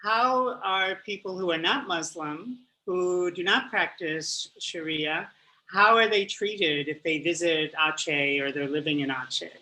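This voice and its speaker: A man's voice: on the high side, measured pace, pushed and bright, poor audio. A man hosting an interview show who speaks in a friendly, upbeat way and addresses the audience directly. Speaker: host, spokesperson.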